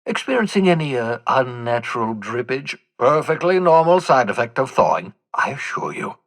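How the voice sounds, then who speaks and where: An actor playing an eccentric, jittery older fugitive scientist in a video game, speaking in a theatrical, wordy, wry way with hesitant asides. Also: male voice, medium pitch, big pitch swings, moderate pace. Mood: breezy, candid. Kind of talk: video game character dialogue.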